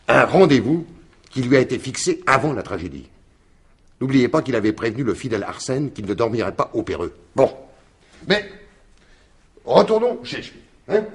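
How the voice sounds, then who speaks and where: Shrewd detective, husky, very animated intonation, emphatic, theatrical, 1950s-style French radio mystery. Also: male voice, medium pitch. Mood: dramatic, urgent. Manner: emphatic, theatrical, deliberate explaining with repeated insistence. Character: shrewd, insistent male detective laying out his theory.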